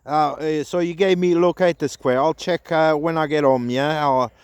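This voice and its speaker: A man's voice: on the high side, steady tone, normal speed, smooth, dry recording. A man making radio contact as a CB operator, speaking hesitantly and repeating himself. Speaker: CB radio operator testing an antenna. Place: outdoors in a park.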